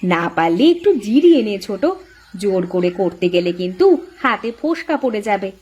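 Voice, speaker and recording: A woman's voice: medium pitch, big pitch swings, fairly quick, a little mellow, breathy edge. A woman voicing a protective, hardworking elder co-wife in a village cartoon, speaking in a firm, motherly, advising way. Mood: caring, practical. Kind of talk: cartoon voice acting.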